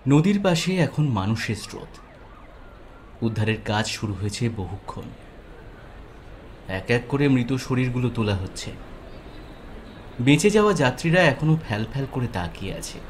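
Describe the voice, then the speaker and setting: A man narrating a scripted horror story with intense, suspenseful, dramatic delivery. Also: medium pitch. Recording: loud ambient noise behind the voice.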